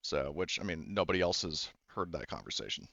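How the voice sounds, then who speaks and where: A man's voice: extremely low pitch, big pitch swings, fairly quick, vocal fry, pushed and bright, no echo, highs cut off. A man narrating a tabletop game as game master in a casual, rambling, explanatory way.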